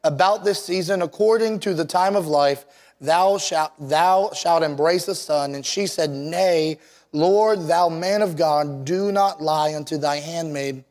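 Scratchy higher-pitched male preacher. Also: a little slow, a little mellow. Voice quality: slightly scratchy, worn voice. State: voice worn out and scratchy. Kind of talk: sermon with scripture reading and prayer.

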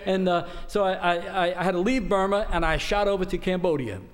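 Rambling older preacher, fairly high voice. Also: male voice, brisk. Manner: rambling, many restarts and fillers. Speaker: missionary preacher. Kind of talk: informal sermon report with a joke.

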